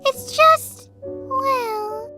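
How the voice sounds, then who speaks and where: Casting: anxious cartoon pony, extremely high little voice, very slow halting delivery, loud music underneath. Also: female voice, exaggerated voice. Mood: anxious, faltering. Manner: stammering, halting, trailing off. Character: timid, hesitant young female cartoon pony, anxious and gentle. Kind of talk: dramatized fan fiction audiobook.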